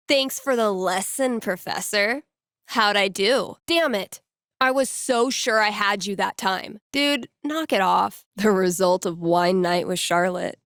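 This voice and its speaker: A young woman in a video game, a teasing friend with a sardonic streak. Her voice sits at a middle pitch and she talks casually, with playful banter. The recording is dry and close, with no room sound. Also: a bit bright, dry recording. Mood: playful, competitive. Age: young adult. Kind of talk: naturalistic video game voice acting.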